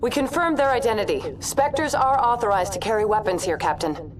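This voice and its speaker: A woman's voice: a little high, fairly quick, lightly acted. A woman playing an authoritative official giving orders in a video game. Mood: commanding. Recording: distant from the mic.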